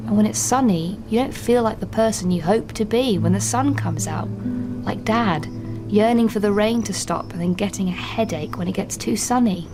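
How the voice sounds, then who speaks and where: A woman's voice: medium pitch, lightly acted. An actress playing a teenage schoolgirl who talks in a wistful, musing way. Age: teens. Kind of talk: radio drama acting.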